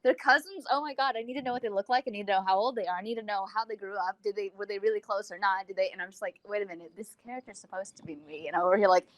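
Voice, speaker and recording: A woman chatting casually as a podcast co-host, gushing and rambling like an excited fan, peppering her talk with "like". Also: mid-range voice, very fast, hardly any pauses, no room sound, rough recording. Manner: gushing, rambling, frequent use of "like". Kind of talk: casual fan interview chat.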